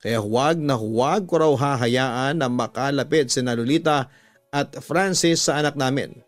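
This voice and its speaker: A man with a Filipino accent narrating a scripted story as a radio host, measured and deliberate, drawing out the ends of phrases. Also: mid-range voice. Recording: background music under a dry studio voice. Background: music.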